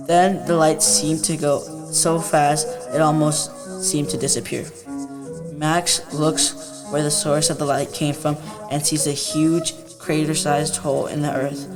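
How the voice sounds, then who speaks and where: Young teenage boy, student story reading, monotone, music in background. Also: a little high.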